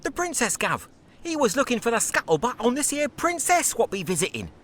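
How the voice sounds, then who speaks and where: A cheeky Cockney newsboy for a video game. The voice is extremely high and lively, chirpy and gossipy, with faint street noise behind it. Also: male voice, brisk, full character voice. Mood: cheeky, eager. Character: cheeky young street newsboy, eager for coins. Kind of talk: dramatic video game voice acting.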